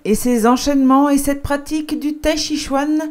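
A woman's voice: high-pitched, steady tone, moderate pace, darkish tone, soft background music. A woman reading scripted narration for a television news report.

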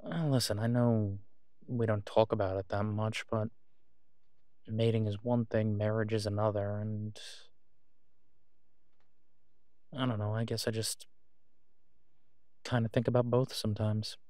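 A man playing a tired, devoted and protective werewolf in an intimate audio drama phone call, speaking in a halting, reassuring way. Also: on the low side, level tone, warm tone, dry recording, studio quality, lightly acted. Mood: tender, wistful.

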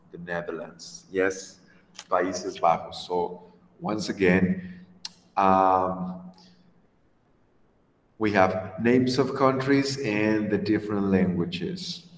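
A man's voice: low voice, fairly even intonation, slow pace. Mexican-accented man in his 30s, patient English teacher on a video call, echoey room with long reverb. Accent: Mexican Spanish. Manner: patient, encouraging, switches to Spanish to explain. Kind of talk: online English class.

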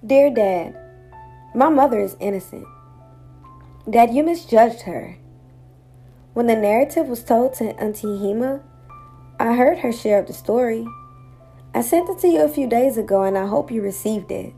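A woman's voice: fairly high pitch, lively intonation, normal speed, pauses often, warm tone, husky, soft background music. An actress playing an earnest, wistful eleven-year-old village girl in an audio drama, longing for her father. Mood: earnest, sad.

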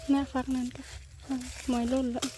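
Very high Isan female voice, casual. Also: level tone, moderate pace. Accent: Isan Thai. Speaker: vlog companion. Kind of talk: casual chat. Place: outdoors in a rural garden. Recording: faint outdoor background noise.

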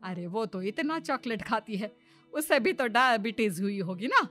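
A high-pitched middle-aged mother-in-law from a cartoon moral tale, acted by a woman. She is chatty and gullible, quick to confide and to complain. Light music plays behind her. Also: animated, sharp tone. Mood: worried. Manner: gossipy, complaining. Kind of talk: Hindi moral-story cartoon dialogue.

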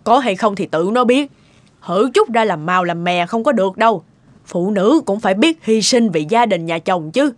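A woman playing a stern, sharp-tongued older mother-in-law in a cartoon, blunt and scolding. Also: medium pitch, lively intonation, normal speed, no echo, natural voice. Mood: indignant. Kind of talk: cartoon dialogue.

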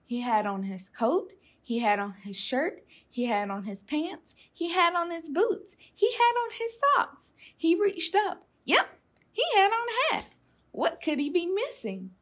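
A woman's voice: very high voice, very animated, measured pace, a bit bright. A woman reading a children's storybook aloud expressively, with playful emphasis. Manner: emphatic, playful sound words. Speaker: storytime reader.

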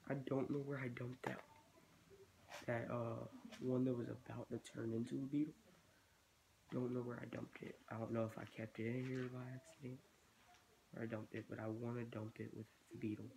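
A teenage boy chats loosely about his hobby in a small bedroom, thinking aloud as he goes. His voice is stuffy from a cold and has a gravelly fry at the low end. The webcam recording carries a clear hiss of background noise. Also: little pitch movement, moderate pace, warm tone. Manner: rambling, thinking aloud while working. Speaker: reptile-keeping vlogger. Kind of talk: casual hobby vlog. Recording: noisy home webcam recording.

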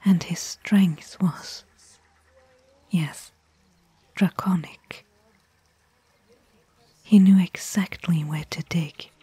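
A woman playing a caring, worried fantasy expedition companion in a soft, breathy, intimate ASMR whisper. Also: lowish voice, steady tone, no room sound, exaggerated voice. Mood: hushed recounting. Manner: intimate, gentle, slow and hushed. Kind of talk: soft-spoken ASMR roleplay.

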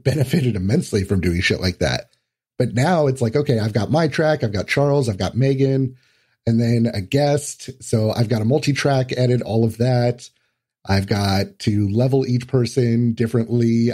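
A male podcast guest talks in a dark, mellow voice with a husky rasp. He explains things casually, in a loose conversational style. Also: medium pitch.

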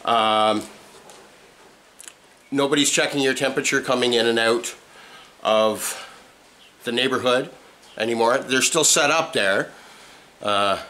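A man in his fifties, chatting casually to camera from his apartment, relaxed and rambling with plenty of "uh" fillers. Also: mid-range voice, a little slow, pauses often, slight background noise. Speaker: vlogger. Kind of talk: casual vlog chat. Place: apartment at home, indoors. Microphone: handheld camera mic, close.

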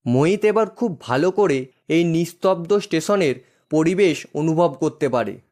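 A man's voice: fairly high pitch, lively intonation, normal speed, darkish tone. A man giving scripted narration of a horror story as its storyteller.

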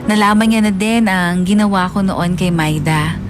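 A wistful young college woman voiced by an actress narrating in the first person for a radio drama. Her voice is medium in pitch and confessional, with soft music underneath. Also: normal speed, lightly acted. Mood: regretful.

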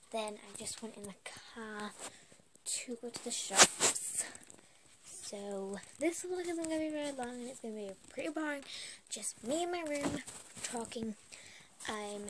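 A woman's voice: high voice, noisy background. Teen girl losing her voice. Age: teens. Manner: chatty, rambling, slow and hesitant with many ums. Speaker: vlogger. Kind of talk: casual vlog chat. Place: small bedroom at home. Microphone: webcam or phone at arm's length.

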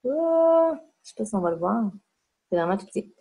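A woman's voice: fairly high pitch, big pitch swings, normal speed, warm tone. A woman in her forties with a Québécois French accent, presenting a casual home webinar lesson and pointing out spots on herself as she explains. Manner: chatty, demonstrating on herself as she talks. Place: home room indoors. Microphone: webcam mic on a video call.

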